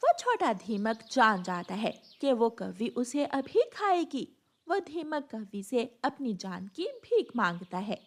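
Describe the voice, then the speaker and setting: A woman's voice: fairly high pitch, very animated, slow. A woman narrating a scripted cartoon story as a storyteller.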